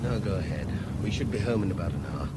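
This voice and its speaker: Harried middle-class Englishman, articulate and lively in inflection, radio play with clear background noise. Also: low-pitched, quick pace. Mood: tense, weary. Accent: British English. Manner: articulate, theatrical turns of phrase. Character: educated middle-class Englishman, wry, increasingly harried and anxious. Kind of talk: naturalistic radio drama acting. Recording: noisy radio drama with background ambience.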